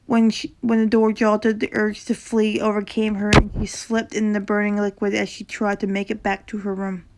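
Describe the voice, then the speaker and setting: A woman with a sore throat reads a horror story in a casual way, her voice gravelly and slightly raspy. Her delivery stays fairly flat and even. She often trips over words, corrects herself and adds quick casual reactions between passages. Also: medium pitch, heavy background noise. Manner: stumbles and corrects herself while reading.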